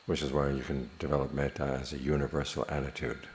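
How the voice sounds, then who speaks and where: A man teaching a guided meditation in a measured, plain-spoken manner, leaving long pauses between phrases. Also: very deep voice, flat delivery, a little mellow, a little hiss or hum.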